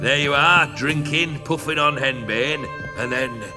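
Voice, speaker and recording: A man's voice: on the high side, measured pace, natural voice. An actor playing a world-weary, cursed nobleman who talks with bitter, sardonic humor, with a game score behind him. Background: game music score.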